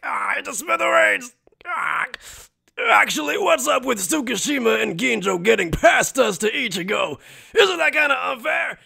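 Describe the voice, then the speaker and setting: A man voicing a drunken, hot-headed younger brother who complains loudly in slangy speech, in an anime dub. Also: very high-pitched, lively intonation, moderate pace, creaky, breathy edge, exaggerated voice. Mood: whiny protesting. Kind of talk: anime fan dub acting.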